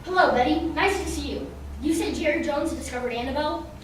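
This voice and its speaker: An earnest radio-play police detective played by a woman, pitched high for the part. The voice rings in a very echoey hall, some distance from the mic. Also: fairly quick, slight background noise, lightly acted. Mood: businesslike. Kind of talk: old-time radio drama acting.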